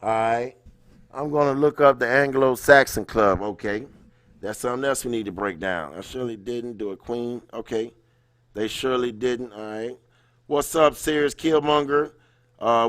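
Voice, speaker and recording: A man with a medium-pitched voice hosts a casual livestream. He talks in loose, slangy phrases, addressing viewers directly as he reads and comments. The sound is dry, with little room around him. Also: normal speed, fairly bright tone, smooth, dry recording. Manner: informal, slangy, greets viewers by name mid-thought. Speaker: livestream host. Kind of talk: casual livestream chat with read-aloud passages.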